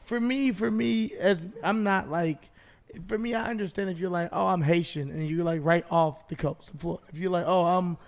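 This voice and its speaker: A man's voice: sits high, steady tone, normal speed, creaky. A man co-hosting a podcast in casual comedic chat, blunt and profane, often riffing with acted-out quotes. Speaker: podcast co-host.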